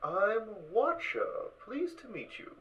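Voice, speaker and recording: A man playing a curious, chatty robot watcher in a fan audio drama, with animated, inquisitive delivery. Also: very high-pitched, slow pace, muffled recording, heavily acted. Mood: curious, friendly. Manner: animated, inquisitive, hesitant phrasing.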